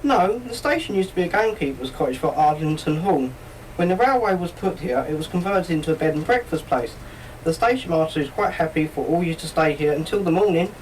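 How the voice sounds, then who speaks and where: An amateur radio play actor as a courteous, measured railway guard with a matter-of-fact manner. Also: medium pitch, animated, darkish tone, slight background noise, close to an everyday voice. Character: apologetic, formal railway guard on snowy night. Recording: slightly distant community radio studio.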